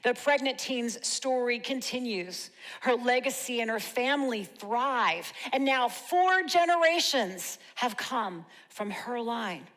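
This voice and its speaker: Woman doing spoken word poetry, slow n deliberate, kinda high voice, lots of hall reverb. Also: animated, a bit bright. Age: 50s. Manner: dramatic, deliberate storytelling delivery with pauses. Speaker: church monologue performer. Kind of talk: rehearsed spoken-word monologue in rhyme.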